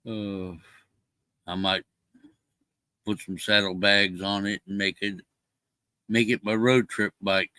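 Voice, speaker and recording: A man's voice: lowish voice, level tone, takes it slowly, long pauses, sharp tone, clear, resonant tone, no echo, studio quality. An older man chatting casually on a livestream, rambling with many fillers and restarts. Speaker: livestream co-host. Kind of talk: casual chat.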